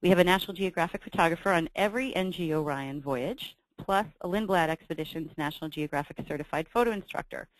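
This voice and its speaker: A woman's voice: fairly low pitch, no room sound, low-quality recording. A woman hosting a travel webinar, reading from slides in an upbeat way with frequent ums. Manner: reads from slides, brisk and upbeat with filler ums. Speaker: webinar host for a travel company. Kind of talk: scripted sales presentation.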